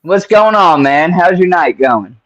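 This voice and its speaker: A man's voice: high-pitched, animated, a little slow, a bit bright, a little hiss or hum. A man hosting a casual interview over a call, talking in a laid-back, slangy, rambling way. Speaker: interview host. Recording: dull lo-fi sound.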